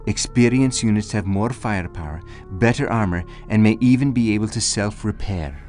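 A man voicing a measured, dutiful military battlefield advisor in scripted announcements for a strategy video game. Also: lowish voice, darkish tone, lightly acted. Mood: measured, instructive. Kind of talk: scripted game tutorial and status alerts. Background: music.

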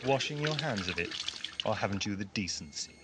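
A man's voice: fairly low pitch, animated, a little fast, natural voice. A man playing a wry, principled time-traveling hero in an audio drama, sardonic and theatrical. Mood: indignant. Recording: noisy amateur audio drama recording.

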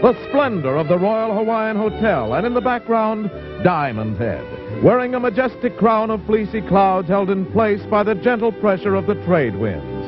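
Man, high voice, florid grandiose narration, music behind, dull old film sound. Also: sing-song, moderate pace. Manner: grandiose, flowery. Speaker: travelogue narrator. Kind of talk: florid 1950s travelogue narration. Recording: muffled vintage film soundtrack. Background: loud music.

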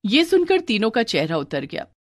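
A woman narrating a scripted story in an expressive style. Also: fairly high pitch, moderate pace, no echo, clean studio sound.

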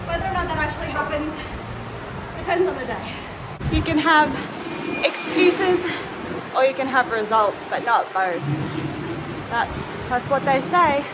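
A woman's voice: sits high, measured pace, pauses often, very noisy. A young woman vlogging casually about her workout, with an informal, upbeat, jokey way of talking. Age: young adult. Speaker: fitness vlogger. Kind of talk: casual vlog talk and workout explanation. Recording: loud music behind the voice. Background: loud music.

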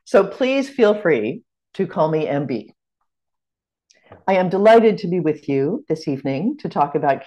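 A middle-aged woman with an American accent, leading a teaching workshop over a video call from a room at home. She talks in a measured, welcoming way, the tone of an online lecture. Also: fairly low pitch, darkish tone. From United States.

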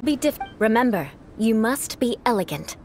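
A woman's voice: on the high side, animated, moderate pace, a little mellow, faint music behind. A woman playing a proud young noblewoman knight in a video game, speaking in a formal, composed and refined manner. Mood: composed, haughty. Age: young adult. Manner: formal, poised, haughty.

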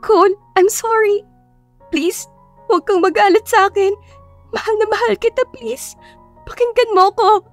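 A woman's voice: very high voice, fairly even intonation, moderate pace, pauses often, soft background music, voice pushed up. A woman voicing a desperate young wife in an audio love story, pleading tearfully with her husband. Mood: tearful apology. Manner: tearful, imploring.

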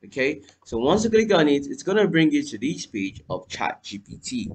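A young man hosting a screen-recorded software tutorial at his home computer, talking viewers through each step and spelling out what he types. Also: fairly high pitch, normal speed, breathy edge, rough recording. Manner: lively, addresses viewers as "you guys", spells out letters while typing. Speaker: tutorial host. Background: keyboard typing.

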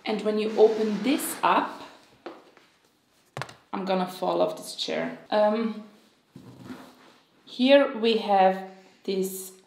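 A woman in her thirties from Switzerland, Croatian by first language, gushing excitedly through a beauty unboxing to camera at home. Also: mid-range voice, roomy sound. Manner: excitable, gushing, with sighs and small laughs. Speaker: beauty vlogger. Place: home room, indoors.

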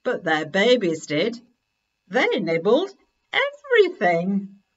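A middle-aged woman reading a children's picture book aloud in a deliberate, expressive way at home. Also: mid-range voice, slightly rough, highs cut off. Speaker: story reader. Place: living room at home, indoors.

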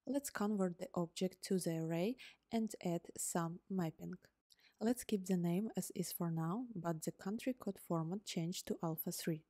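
Woman, Ukrainian native speaker, low voice, dry close sound, calm step-by-step tutorial narration. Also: moderate pace, no room sound. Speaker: tutorial host. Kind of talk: scripted coding tutorial narration. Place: voice-over on screen recording.

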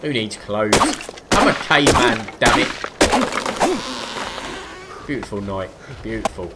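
A man's voice: on the low side, unhurried. A man casually commenting on his own game as he plays, joking and talking to himself and the animals on screen. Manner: chatty, jokey, talks to himself and the animals. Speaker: let's play gamer. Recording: game sounds and noise behind voice.

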